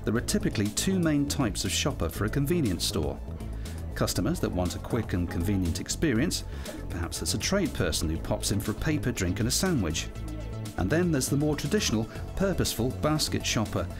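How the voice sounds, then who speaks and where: Male narrator, British, for a scripted training film. Lowish pitch, animated intonation, music bed. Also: a little fast. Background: background music.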